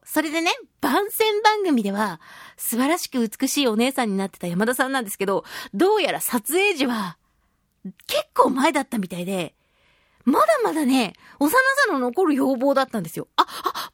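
Woman, high voice, very lively intonation, radio host, casual expressive storytelling, dry close sound. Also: normal speed, no echo. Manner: animated, expressive, acts out reactions and quotes. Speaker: radio show host, voice actress. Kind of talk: casual monologue talk, recounting a film outing.

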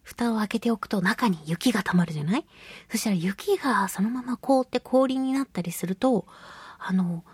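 Clear-voiced woman hosting a casual chat show. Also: a little high, moderate pace, no echo. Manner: animated storytelling, often giggling, colloquial. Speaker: voice actress hosting a radio talk show. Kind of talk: casual solo radio chat.

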